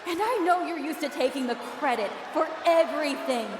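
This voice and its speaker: A woman's voice: sits high, normal speed. A woman playing a bitter, jealous wrestling promoter in a video game, delivering a sarcastic, menacing speech over an arena PA. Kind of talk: theatrical in-ring promo speech. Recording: echoey arena PA with crowd noise.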